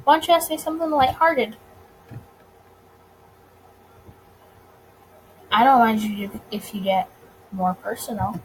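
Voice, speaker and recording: Girl doing a smooth 1920s mob boss guy from a visual novel, coy n teasing, highish voice, slight noise. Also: expressive, fairly quick, lightly acted, low-quality recording.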